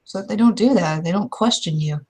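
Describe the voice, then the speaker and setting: A woman playing a stubborn member of a werewolf clan in improvised roleplay, pushing back in a halting, contrary way. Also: fairly low pitch, a little mellow, husky. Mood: challenging. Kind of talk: improvised in-character roleplay dialogue.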